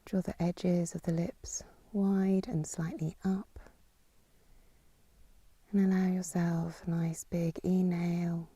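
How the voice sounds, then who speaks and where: Female meditation teacher, lowish soft breathy voice, calm and unhurried, close dry sound. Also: dry recording. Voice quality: soft, breathy, half-whispered. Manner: calm, soothing, unhurried with long pauses. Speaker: yoga nidra teacher. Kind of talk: guided meditation, slow spoken instructions.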